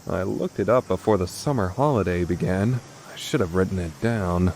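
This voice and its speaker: Man playing a pompous, low-voiced young mad scientist. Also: moderate pace, darkish tone, a little hiss or hum, rough recording. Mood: musing, self-important. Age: young adult. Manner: pompous, declamatory, easily indignant. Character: grandiose, theatrical young male self-styled mad scientist. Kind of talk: anime visual novel fan dub acting.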